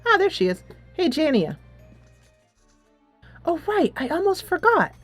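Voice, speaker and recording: Expressive woman gamer with very lively pitch. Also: unhurried, no echo. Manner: giggly, reacts expressively. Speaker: gaming channel host. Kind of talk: casual game playthrough commentary. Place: quiet room, voice-over on gameplay. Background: soft game music.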